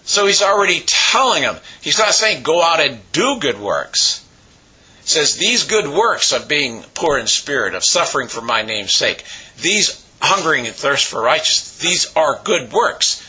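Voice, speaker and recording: Hoarse, raspy-voiced man preaching. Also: a little high, very animated, sharp tone, muffled recording. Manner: halting, with many pauses and uh fillers. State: hoarse from a cold. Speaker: pastor. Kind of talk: sermon.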